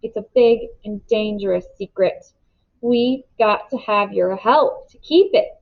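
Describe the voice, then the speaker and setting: A woman voicing a gentle, weary country mother in a storybook, plain-spoken and folksy. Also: mid-range voice, unhurried, vocal fry, muffled recording. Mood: earnest, reassuring.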